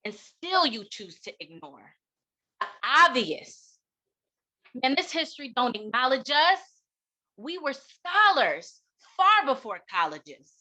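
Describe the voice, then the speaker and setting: A middle-aged American woman delivering an impassioned keynote talk in an emphatic, deliberate way, with rhetorical pauses and repetition. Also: sits high, big pitch swings, bright, forceful tone, low-quality recording. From United States. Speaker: keynote speaker and educator.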